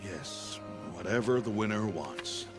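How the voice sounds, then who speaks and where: A man acting a grave, guarded middle-aged foster father and outcast hunter in a video game, measured and solemn. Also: on the low side, expressive, music in the background.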